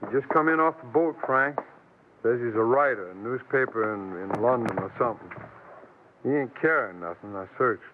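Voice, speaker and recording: A man acts out a bullying frontier deputy in a radio western. He is curt and menacing, with a medium-pitched voice. The vintage recording is tinny, with faint noise behind it. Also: moderate pace, natural voice. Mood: surly. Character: surly, bullying Old West sheriff's deputy. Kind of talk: 1950s radio western acting.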